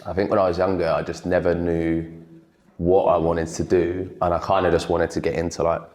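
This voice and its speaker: A man with a very low voice talks freely as an interview guest. He swears casually and laughs easily. The room around him sounds echoey. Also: little pitch movement, brisk, a little mellow. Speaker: guest, creative director.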